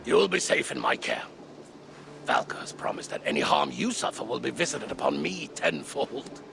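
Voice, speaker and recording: An actor playing a mysterious older Norse craftsman in a video game, with a gravelly voice and a measured, cryptic manner. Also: mid-range voice, wildly swooping pitch, noisy background, heavily acted. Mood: assured. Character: mysterious older Norse craftsman, soft-spoken, wry visionary. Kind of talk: video game cutscene acting.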